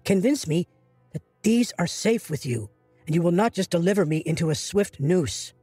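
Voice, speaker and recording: A man's voice: sits high, lively intonation, normal speed, warm tone, faint music behind, pitched above the performer's own voice. A man voicing a bold teasing woman prisoner. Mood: curious.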